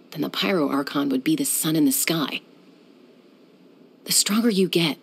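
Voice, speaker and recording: Cool young game heroine, low gravelly voice, calm and measured. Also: female voice. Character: cool, confident young woman sky-hunter and peacemaker. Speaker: game character. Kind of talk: video game voice lines.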